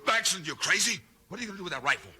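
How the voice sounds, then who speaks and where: An actor playing a nervous, fearful outlaw henchman with an excitable drawl in a 1950s radio western. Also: sits high, very fast, full character voice. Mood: panicked. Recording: noisy, crackly 1950s radio broadcast.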